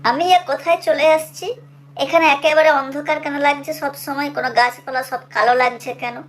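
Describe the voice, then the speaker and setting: A woman's voice: sits high, steady tone, normal speed, lightly acted. A woman playing a restless, gloomy young village girl who complains to herself in a cartoon story. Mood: confused. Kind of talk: Bengali cartoon story voice acting.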